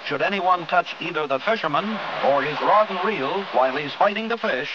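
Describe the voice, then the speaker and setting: A man's voice: on the high side, animated, normal speed, muffled recording, background noise. An American man reading scripted 1950s newsreel-style narration in a dramatic, folksy showman's manner, on an old film soundtrack. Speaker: documentary narrator. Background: loud background music.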